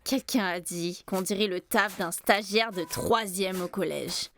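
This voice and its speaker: A woman playing an ambitious young art student with brittle optimism in an animated comedy dub, theatrical and expressive. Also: medium pitch, measured pace, slight background noise. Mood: dejected, frustrated. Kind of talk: animated slice-of-life comedy fan dub.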